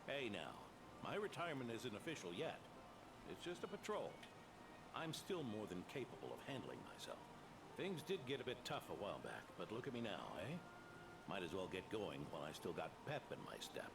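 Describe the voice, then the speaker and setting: A hearty aging knight. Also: male voice, fairly low pitch, brisk. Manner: easygoing, folksy. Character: aging knight near retirement, hearty and restless. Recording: game audio with steady noise.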